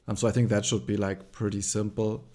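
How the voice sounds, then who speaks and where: A man with a German accent hosting a relaxed screen-share tutorial, thinking aloud with frequent ums and likes. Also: lowish voice, a little fast. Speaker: tutorial host.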